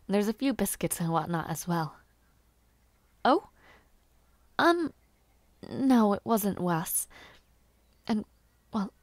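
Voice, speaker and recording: A woman playing a gentle, shy and eager young noblewoman who aspires to knighthood, chatting softly and politely in an intimate audio roleplay. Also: medium pitch, no echo. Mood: delighted, bashful, then bashful, hopeful. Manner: soft-spoken, chatty, polite, slightly bashful, giggly. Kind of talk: intimate audio roleplay, one-sided conversation.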